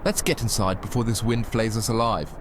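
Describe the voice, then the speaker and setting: A man playing a pompous, blustering upper-class gentleman in a radio drama, with background noise. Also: lowish voice, fairly even intonation, a little fast, lightly acted. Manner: pompous, posh wording.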